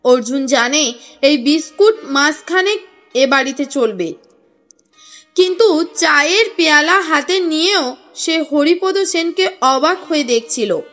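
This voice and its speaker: A woman's voice: high-pitched, lively intonation, measured pace, fairly bright tone. A woman reading a scripted detective story as an audiobook narrator, with music under her voice.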